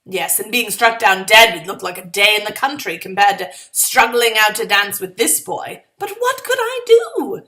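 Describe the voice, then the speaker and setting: A woman's voice: mid-range voice, big pitch swings, moderate pace, hardly any pauses, sharp tone. A woman reading a short story in the first person as a sardonic, exasperated young woman of the 1920s, with sarcastic, melodramatic delivery. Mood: bitter, self-pitying.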